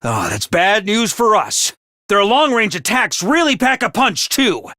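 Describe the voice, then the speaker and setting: Peppy cartoon mascot commander, very high voice, swooping intonation, bossy, theatrical, video game style. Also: male voice, very animated, unhurried, bright, forceful tone, dry recording, studio quality, full character voice. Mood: stern, serious. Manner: theatrical, bossy, jaunty drill-sergeant delivery. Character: peppy, bossy little mascot commanding officer of student soldiers. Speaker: voice actor for a video game character. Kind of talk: animated video game voice acting.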